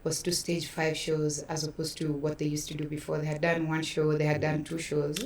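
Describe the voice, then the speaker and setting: Low-voiced Kenyan woman in a roomy space. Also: completely flat delivery, a little fast, a little mellow, a little hiss or hum. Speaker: theatre producer, interview guest. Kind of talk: conversational storytelling in an interview. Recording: roomy echo, distant microphone.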